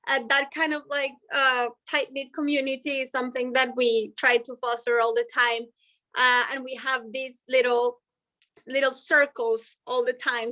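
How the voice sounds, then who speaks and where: Bright high-voiced woman on a video call. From Colombia. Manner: upbeat and explanatory, speaks in long flowing sentences. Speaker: language professor. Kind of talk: presenting in an online panel.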